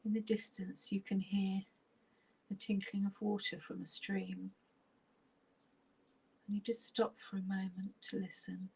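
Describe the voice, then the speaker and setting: A woman with a soft, breathy, near-whispering husky voice, leading a guided relaxation meditation in a soothing, unhurried way with long pauses. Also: mid-range voice, monotone, low-quality recording.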